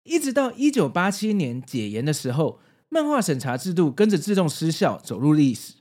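A man's voice: fairly high pitch, big pitch swings, moderate pace, a little mellow. A man in his forties with a Taiwanese Mandarin accent narrates a scripted video essay with casual asides, in an explanatory, nostalgic way. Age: 40s. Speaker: host.

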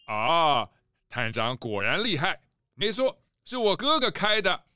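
A man's voice: on the high side, big pitch swings, unhurried, sharp tone, clear, resonant tone, dry recording, studio quality, full character voice. A man playing a jovial, hospitable panda school principal in a children's audio drama, effusive and full of exclamations. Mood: jovial, excited.

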